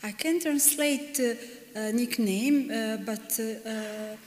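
A middle-aged woman from Serbia, a librarian, telling a short story at a podium in halting English with many hesitations. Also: a little high, a little mellow. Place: large conference hall stage. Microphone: podium microphone. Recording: echoey hall.

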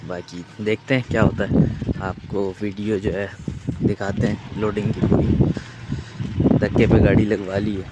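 A man with a Pakistani Urdu accent talks straight to his viewers in an easy, rambling vlog manner, full of fillers. His voice sits low and stays flat. He is recorded on a phone outdoors at a cattle farm, with heavy background noise of animals and vehicles. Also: measured pace, pauses often. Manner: chatty, addresses viewers directly, many fillers. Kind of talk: casual vlog narration.

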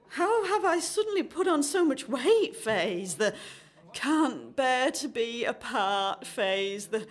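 A woman's voice: a little high, full character voice. An actress in a radio play playing a jaded, anxious single woman in her thirties, sarcastic, dramatic and emphatic. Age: 30s. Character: jaded, anxious 35-year-old single woman unravelling at speed dating.

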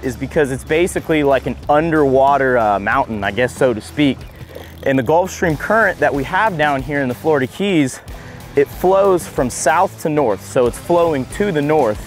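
Excited man narrating fishing from a boat. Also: on the high side. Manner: excited, breaks into whoops and laughs while fighting fish. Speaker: fishing vlogger. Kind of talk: casual on-camera fishing narration. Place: open ocean, on a small boat outdoors.

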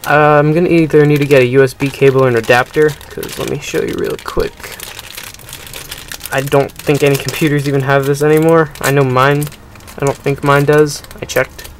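A man's voice: a little high, level tone, normal speed, a little hiss or hum. A young man casually narrating a gadget unboxing, rambling and hesitant with many ums. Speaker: unboxing video host. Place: indoors at a table.

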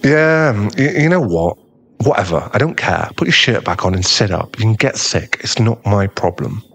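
A man playing a bitter, heartbroken young school bully in an audio roleplay, scornful and aggrieved, with a gruff protective streak. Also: fairly low pitch, expressive, moderate pace, fairly bright tone. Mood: resentful, demanding. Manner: aggrieved, swearing, scornful, dropping into breathy muttering. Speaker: ASMR roleplay voice actor. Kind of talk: ASMR boyfriend audio roleplay.

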